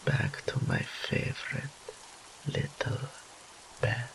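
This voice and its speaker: A man's voice: medium pitch, huge pitch swings, slow, vocal fry, noisy background, full character voice. A man voicing a teasing, smug and doting incubus demon in roleplay audio, with a theatrical purring drawl and whispered asides. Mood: smug, languid.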